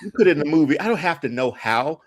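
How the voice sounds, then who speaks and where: A man's voice: on the high side, expressive, fast talker. A man chatting casually as a podcast panelist, slangy and emphatic, often repeating his phrases for weight.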